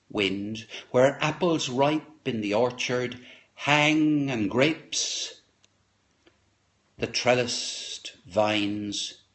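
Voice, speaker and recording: A man reciting poetry aloud in a solemn, expressive way, lingering over short dramatic phrases. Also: medium pitch. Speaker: poetry reader, live stream host.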